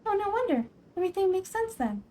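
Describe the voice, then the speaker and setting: A woman voicing a small, excitable, childlike fairy companion in a game, eager and insistent, talking about herself in the third person. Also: very high voice, fast talker, a little hiss or hum, voice pushed up. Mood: enlightened. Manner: speaks of self in third person.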